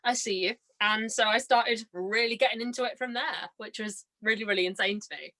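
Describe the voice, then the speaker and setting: A woman's voice: fairly high pitch, brisk, pushed and bright. A young woman in her twenties, a podcast guest, chatting casually and enthusiastically, telling anecdotes and acting out what people said. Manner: bubbly, giggly, enthusiastic storytelling with acted-out quotes. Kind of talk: casual chat, animated anecdotes.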